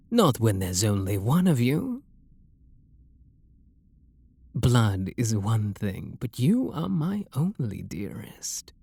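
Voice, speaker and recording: A man with a British accent playing a refined, possessive and seductive vampire lord in an intimate ASMR roleplay, speaking softly and coaxingly with a breathy, husky voice. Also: mid-range voice, no echo, full character voice. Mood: possessive devotion. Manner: soft, coaxing, flirtatious, unhurried.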